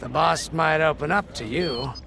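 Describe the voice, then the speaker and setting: A man playing a chatty, gossipy rebel pilot in a space game, talking informally and conspiratorially with a gravelly voice. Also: on the high side, moderate pace, noisy background. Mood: conspiratorial, curious. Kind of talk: casual in-game character monologue.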